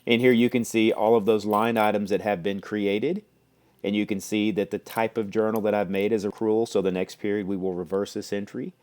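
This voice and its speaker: A man presenting a software demo, explaining step by step in a conversational way. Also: lowish voice, flat delivery, fairly quick. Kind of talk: explanatory product demo walkthrough.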